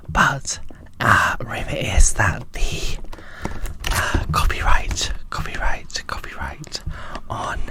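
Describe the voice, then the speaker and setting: A woman whispering softly and a little hesitantly in an ASMR unboxing style. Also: extremely low pitch. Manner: soft, hushed, hesitant. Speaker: ASMR video creator.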